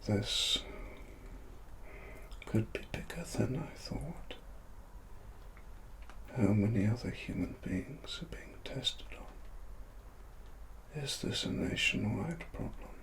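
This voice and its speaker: A low, half-whispered British male voice of a man acting out a brooding investigator in a cyberpunk ASMR story. He speaks very slowly and softly, leaving long pauses, while his pitch still moves expressively within that hushed delivery. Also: lively intonation, heavily acted. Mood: guarded, pensive. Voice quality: soft, breathy, half-whispered. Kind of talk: softly spoken ASMR roleplay monologue.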